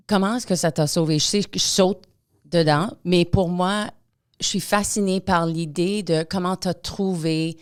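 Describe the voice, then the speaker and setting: A woman's voice: on the low side, darkish tone, clear, resonant tone, dry recording. A woman, a native English speaker, hosting a podcast from a studio and chatting casually with frequent fillers and slips into English. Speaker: podcast host. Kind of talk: casual podcast intro and interview.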